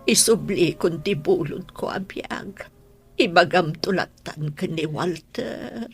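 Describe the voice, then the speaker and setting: Woman acting a slow, husky, frail old mother. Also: lowish voice, faint music behind, heavily acted. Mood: weak, pleading. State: weak, tearful. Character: frail, ailing old mother, pleading and tearful. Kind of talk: Ilocano radio drama acting.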